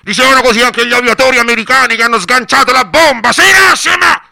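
A man's voice: very high voice, fast, gravelly, bright, forceful tone, dry recording, clean studio sound, exaggerated voice. A man in a radio play playing a scornful moralist who scolds a child with preachy, accusing sarcasm. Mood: furious accusing. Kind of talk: theatrical radio monologue acting.

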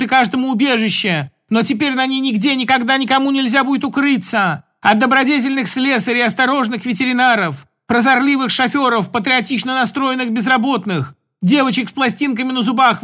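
A man's voice: very high voice, bright, forceful tone, pitched above the performer's own voice. A man voicing a passionate young woman chanting a long, rhythmic lament to her beloved.

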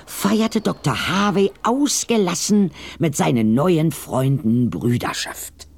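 A man's voice: on the high side, expressive, slow, gravelly. A man narrating a scripted radio play in a dramatic storytelling style.

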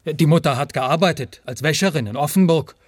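An actor playing a rootless, humble and anxious peddler in a German radio play, with a halting, rambling way of talking. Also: male voice, a little high, dry recording, natural voice. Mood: plaintive, rambling. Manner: halting, rambling, says "one" instead of "I".

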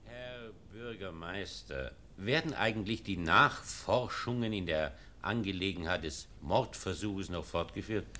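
An actor playing a skeptical, stern baron and guardian in a German radio play, talking in a measured, dry, genteel way. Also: male voice, deep voice, bright, forceful tone, slight background noise. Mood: dry, reserved.

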